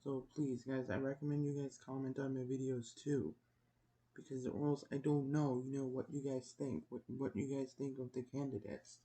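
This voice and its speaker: A young man giving casual election prediction commentary as a video host, in a subdued, hushed voice with a halting delivery. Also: mid-range voice, flat delivery, poor audio. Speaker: YouTube host. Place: at home. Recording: noticeable background noise, home recording.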